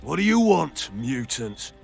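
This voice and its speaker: A man voicing a hostile, contemptuous thug with a rasping, gravelly voice in a video game. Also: medium pitch, sing-song, unhurried, soft background music, heavily acted. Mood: menacing contempt. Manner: menacing, contemptuous. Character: hulking, hostile, bigoted arm-wrestling thug.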